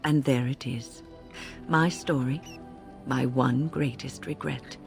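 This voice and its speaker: An actress playing an older female mage mentor in a fantasy game, measured and confessional, full of grave regret and compassion. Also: rumbling low, steady tone, gravelly, faint music behind, exaggerated voice. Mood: resigned. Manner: measured, reflective, confessional, emotive. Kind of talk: dramatic scripted game dialogue, storytelling.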